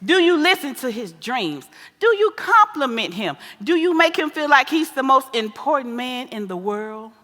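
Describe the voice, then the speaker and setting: A woman's voice: high-pitched, big pitch swings, sharp tone, soft background music, full character voice. A woman on stage playing a bawdy, boisterous older mother who schools her grown daughter, sassy and preachy in a big theater hall. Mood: admonishing. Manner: sassy, preachy, exclamatory, drawn-out emphasis. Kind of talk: broad comedic stage play acting. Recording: echoey theater hall with long reverb.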